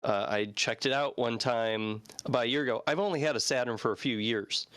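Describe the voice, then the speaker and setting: A man's voice: mid-range voice, brisk, a bit bright, clear, resonant tone, no echo. A man in his thirties chatting casually as a panel guest, earnest and enthusiastic. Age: 30s. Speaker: guest, fan translation team member.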